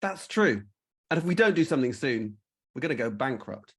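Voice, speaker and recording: A man's voice: mid-range voice, very animated, a little fast, slightly rough. A man acting office staff in an amateur comic story, from grave accountant to silly delivery guy. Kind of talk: amateur comic audio drama.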